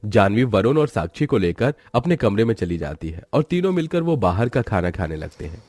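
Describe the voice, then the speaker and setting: A man's voice: on the low side, big pitch swings, a little fast, a little mellow, dry recording, clean studio sound, faint music behind. A man narrating a scripted moral story.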